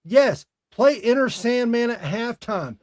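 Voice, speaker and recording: A man's voice: very high-pitched, lively intonation, slow. A man in his forties hosting a sports talk show, giving casual, opinionated commentary in an emphatic way with rhetorical questions. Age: 40s. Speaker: sports talk podcast host.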